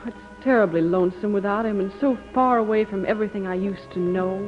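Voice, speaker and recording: A woman's voice: mid-range voice, fast, natural voice. A woman playing a lonesome, well-spoken young frontier woman in an old-time radio musical drama. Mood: sad, lonesome. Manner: earnest, well-spoken, formal diction. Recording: thin, phone-like 1950s radio broadcast, faint music.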